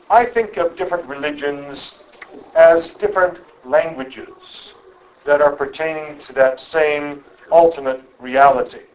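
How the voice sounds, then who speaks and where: A middle-aged man giving a reflective public lecture, speaking in a measured, thoughtful way and often pausing on um and uh. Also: fairly high pitch, low-quality recording.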